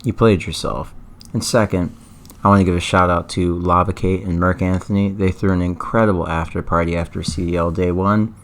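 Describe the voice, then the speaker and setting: A hoarse man from Atlanta, talking casually to camera as an esports news vlogger, eager and fond of repeating words for emphasis. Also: low voice, fairly even intonation, brisk. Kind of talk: casual vlog talk to camera.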